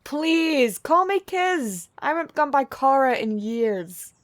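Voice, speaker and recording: A young woman playing a cheeky, chaotic prankster muse in a fantasy audio drama, loud and slangy, with sudden excited jumps in pitch. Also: slow pace, full character voice. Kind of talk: fantasy audio roleplay acting.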